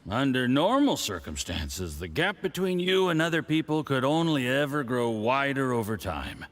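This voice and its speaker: An actor playing a smug, scheming foreign diplomat with a patronizing, mocking manner. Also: male voice, medium pitch, sing-song, normal speed, a bit bright, slight background noise.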